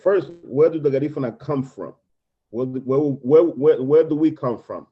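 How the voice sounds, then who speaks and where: A man's voice: mid-range voice, moderate pace, slightly rough. A man asking interview questions, repeating and rephrasing his words as he goes. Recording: dull lo-fi sound.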